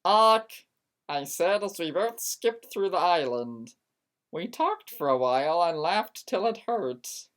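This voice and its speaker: A woman narrates amateur fiction as a dramatic reading. Her delivery is slow and theatrical, with exaggerated emphasis and pitch that moves up and down a great deal. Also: very animated. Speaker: story reader.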